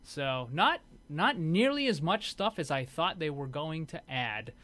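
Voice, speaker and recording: A man's voice: a little high, sing-song, a little slow, fairly bright tone. A man giving casual commentary over game footage as a video host.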